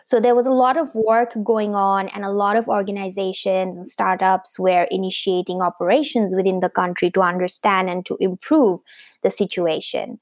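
A woman's voice: medium pitch, fairly even intonation, normal speed, dry recording. A woman in her thirties from Bangladesh, a startup founder, answering interview questions with many fillers and restarts. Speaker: guest, fashion startup founder.

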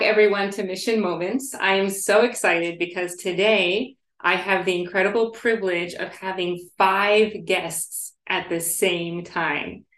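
A woman's voice: medium pitch, a bit bright. A woman hosting a podcast, welcoming and enthusiastic as she introduces guests sitting with her. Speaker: podcast host. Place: room shared with guests. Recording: echoey room.

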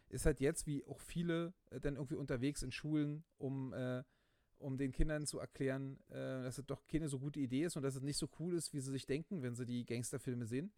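A man's voice: on the high side, darkish tone, no room sound. Guy rambling on a podcast, lots of ums and restarts, gets riled up, dry close mic. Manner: rambling, many fillers and restarts, gets worked up. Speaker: podcast co-host. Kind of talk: casual chat.

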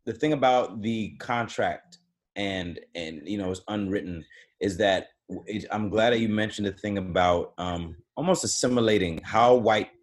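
A man talking reflectively in a casual podcast discussion, often pausing and restarting his thoughts. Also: fairly low pitch.

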